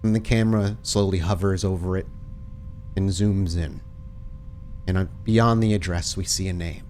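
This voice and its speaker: A man's voice: deep voice, steady tone, normal speed, darkish tone, slight background noise. A man working as game master, narrating a tabletop game in dramatic, cinematic scene-setting descriptions.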